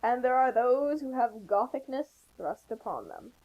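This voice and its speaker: A woman with a high voice offers casual, joking commentary. She is wry and sarcastic, and the recording of her is somewhat dull and lo-fi. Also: lively intonation, moderate pace. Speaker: co-commentator on a fan fiction reading.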